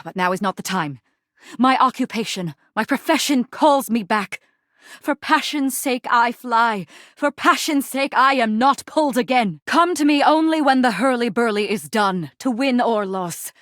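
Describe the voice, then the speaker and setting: A woman's voice: mid-range voice, no room sound, studio quality, close to an everyday voice. A woman voicing a fierce, haughty general in a video game, declaiming in grandiose, archaic Shakespearean language. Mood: torn, intrigued. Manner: bombastic, archaic theatrical declaiming. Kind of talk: video game character acting.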